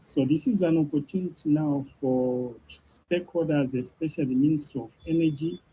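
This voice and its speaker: A man's voice: a little high, normal speed, dark tone. A man delivering prepared expert commentary on a muffled recording. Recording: muffled, noisy background.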